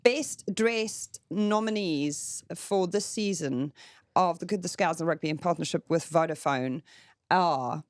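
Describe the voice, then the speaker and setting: A woman's voice: on the low side, measured pace, no room sound, studio quality. A woman hosting a casual podcast chat, upbeat and teasing, reading sponsor lines between laughs. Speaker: podcast host.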